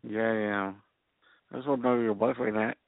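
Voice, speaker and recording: A man's voice: medium pitch, steady tone, fast, gravelly, no echo. A man in his thirties from Hawaii on a phone line, bantering flirtatiously and insistently, repeating himself. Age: 30s. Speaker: phone-in chat caller. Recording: tinny phone line, faint noise.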